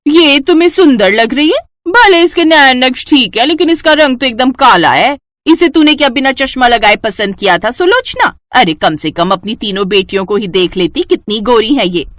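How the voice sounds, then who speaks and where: A woman doing a shrill, spiteful loud-mouthed aunt. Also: lively intonation, normal speed, hardly any pauses, dry recording, studio quality. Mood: mocking.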